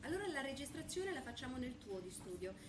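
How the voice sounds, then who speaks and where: A woman's voice: high-pitched, sing-song, quick pace. Woman acting as classy teasing journalist, confident, swooping intonation, stage comedy, distant in echoey hall. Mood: coaxing. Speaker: stage actress. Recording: echoey, noisy theatre, distant mic.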